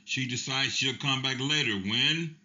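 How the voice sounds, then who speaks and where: A man giving scripted narration in an even, matter-of-fact way. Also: medium pitch, measured pace, heavy background noise. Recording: dull lo-fi, faint music.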